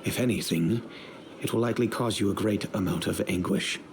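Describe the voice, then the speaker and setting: An actor playing a grave middle-aged father and head of a noble family, speaking in a measured, solemn, storytelling way over game music. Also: lowish voice, slight background noise, natural voice.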